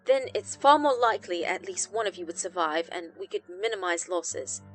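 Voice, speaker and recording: A woman's voice: lowish voice, animated, moderate pace, faint music behind, poor audio. A woman voicing a frantic female doctor who is losing her composure, stammering formally in an audio horror story. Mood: pained, hesitant. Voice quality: cracked, breathy. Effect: intercom speaker.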